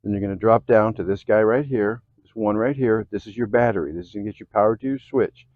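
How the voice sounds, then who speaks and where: An American man giving a casual, folksy how-to, often checking in with a quick okay or all right. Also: fairly low pitch, no echo, narrow, tinny audio. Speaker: host of an off-road vehicle DIY channel. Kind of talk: casual how-to chat to camera.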